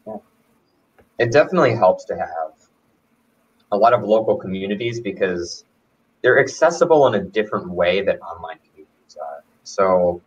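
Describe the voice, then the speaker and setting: A man hosts a casual chat in a relaxed, thoughtful manner, his voice low. He sits away from the mic, and the audio sounds dull and lo-fi. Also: normal speed, long pauses, slightly rough, far from the mic. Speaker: host.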